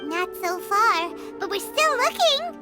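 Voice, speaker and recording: A tiny, eager fairy sidekick from a video game. The voice is extremely high, squeaky and childlike, with a cutesy, exclamatory bounce that leaps up and down. Music plays clearly behind it. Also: female voice, very animated, slow, exaggerated voice. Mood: weary, determined. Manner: cutesy, exclamatory, refers to self in third person. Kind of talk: video game character acting.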